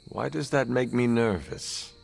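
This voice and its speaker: A man playing a guarded, plain-spoken hunter hero in a video game, reserved and measured. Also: medium pitch, gravelly, faint music behind. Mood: curious, reserved. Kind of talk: video game cutscene acting.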